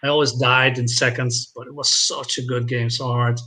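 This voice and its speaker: A man's voice: medium pitch, flat delivery, moderate pace, bright, forceful tone. A man chatting casually as a podcast co-host, enthusiastic and swearing freely. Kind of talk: casual chat.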